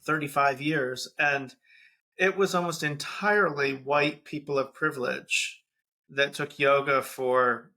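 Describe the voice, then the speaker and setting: A man's voice: mid-range voice, steady tone, a little slow, pushed and bright. A man in his fifties hosting a podcast interview in a playful, teasing way, laughing between his phrases. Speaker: podcast host and yoga teacher.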